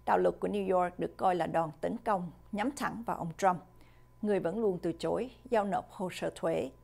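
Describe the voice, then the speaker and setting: Woman reading news, gravelly edge. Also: lowish voice, normal speed. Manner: steady, formal delivery. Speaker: news anchor. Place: studio with a virtual backdrop.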